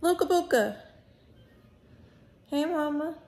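Gravelly woman chatting to camera. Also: high voice, lively intonation, slow, darkish tone, faint music behind. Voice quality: gravelly morning voice. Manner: chatty, laughing, addresses viewers as y'all. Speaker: vlogger. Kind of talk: casual chat to camera. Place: small bedroom at home, indoors. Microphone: phone held close or propped at arm's length.

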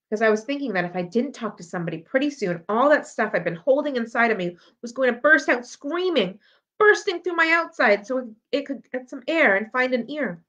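A woman's voice: medium pitch, fairly bright tone. A woman reading a children's novel aloud expressively, as a library storyteller. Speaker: library read-aloud reader. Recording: dull lo-fi audio.

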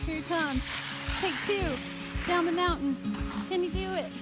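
A woman's voice: very high-pitched, moderate pace, over loud music, poor audio. A young American woman in her twenties chatting casually and animatedly to camera as a vlogger. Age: 20s. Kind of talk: casual vlog chat to camera. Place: various: car interior, home, outdoor ski slope at night. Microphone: handheld camera at arm's length.

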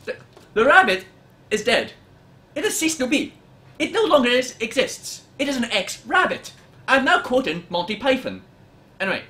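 A man's voice: very high voice, very animated, moderate pace, long pauses, pushed and bright, husky. A young man giving an exasperated, sweary, informal comedic game review. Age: young adult. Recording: noisy home room recording.

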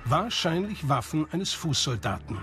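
Documentary narrator, man, slightly high register, scripted read over a music bed. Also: big pitch swings, normal speed. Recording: music behind the voice. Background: background music.

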